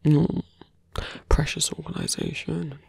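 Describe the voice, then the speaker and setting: A man playing a seductive, embittered slum-born villain in a fantasy ASMR roleplay, speaking slowly and intimately in a breathy, gravelly half-whisper. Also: fairly high pitch, wildly swooping pitch, dry recording, exaggerated voice. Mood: bitter, intimate. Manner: slow, intimate, half-whispered, deliberate pauses.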